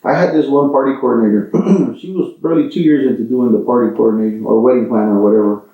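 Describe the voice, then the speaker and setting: A man, a DJ, telling a story fast in casual podcast chat and acting out the dialogue. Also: medium pitch. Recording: distant, dull and echoey.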